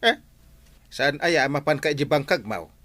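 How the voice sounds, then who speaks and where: A man with an Ilocano accent playing a nosy, excitable village buddy in a comedy radio drama. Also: on the high side, normal speed, dry recording. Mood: exasperated.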